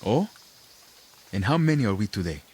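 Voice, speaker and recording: An actor playing a level-headed, skeptical rebel comrade, reasoning calmly in a radio drama. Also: male voice, fairly low pitch, slight background noise. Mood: calm, doubting. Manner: measured, reasoning. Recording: clear insect and jungle ambience.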